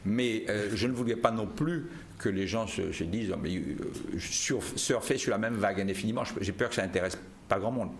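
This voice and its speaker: A man from Martinique, a guest author, talking haltingly with many restarts in a live studio interview. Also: lowish voice, slight background noise.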